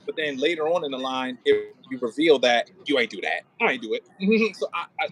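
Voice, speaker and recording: A man's voice: mid-range voice, expressive, a little fast, a bit bright, slight background noise, poor audio. A man directing a rehearsal in a middle-range voice. He talks informally, giving notes on delivery and slipping into short line readings to show what he means. The style is conversational coaching. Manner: informal, demonstrates lines by acting them out. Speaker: audio play director coaching an actor.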